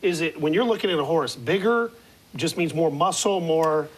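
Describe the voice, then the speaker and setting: A man in his forties hosting a studio sports talk show, a little hoarse from losing his voice, asking long rambling questions into a lapel mic. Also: on the high side, lively intonation, moderate pace, low-quality recording. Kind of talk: studio interview. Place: TV studio with city skyline backdrop.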